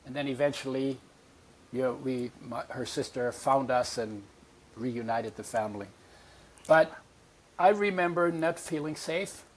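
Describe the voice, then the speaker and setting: A man in his eighties, born in Poland, telling his life story as a podcast guest and acting out voices from his memories. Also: mid-range voice. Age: 80s. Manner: animated storyteller, acts out remembered voices.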